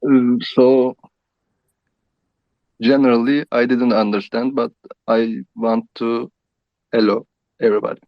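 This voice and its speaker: A man with a Turkish accent, a native Turkish speaker, talking haltingly in English on dull, dry call audio. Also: mid-range voice, level tone, no echo.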